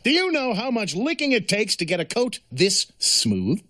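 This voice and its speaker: Vain, put-upon cartoon cat, sarcastic and pompous, highish pitch with big swings. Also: male voice, wildly swooping pitch, moderate pace, pushed and bright, full character voice. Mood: smug, sardonic. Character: sardonic, vain talking male cat, put-upon grumbler. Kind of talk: animated series acting.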